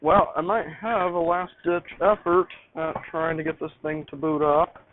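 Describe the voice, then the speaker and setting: A man's voice: fairly high pitch, moderate pace. A man talking casually and off the cuff as he fiddles with gear, muttering to himself and repeating his phrases. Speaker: amateur vlogger showing home radio studio. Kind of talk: casual improvised talk while troubleshooting gear. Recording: tinny phone-like band, hissy background noise.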